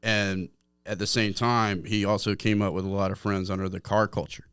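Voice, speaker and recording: A man's voice: on the low side, flat delivery, normal speed, fairly bright tone, smooth, no room sound, clean studio sound. A man from Atlanta, a guest musician, telling stories in a joking, rambling way full of fillers. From Atlanta, Georgia, USA. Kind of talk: casual interview chat.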